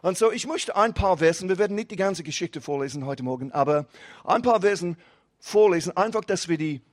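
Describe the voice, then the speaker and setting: Young adult male guest pastor, non-native speaker with a foreign accent, high voice, casual preaching style. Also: lively intonation, fairly quick. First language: not German. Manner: casual, mixes English words, rising and falling emphasis. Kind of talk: sermon.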